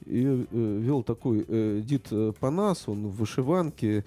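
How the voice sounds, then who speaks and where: Hesitant man with a very dark voice. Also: medium pitch, expressive, a little slow. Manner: hesitant, many fillers and restarts. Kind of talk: casual political chat.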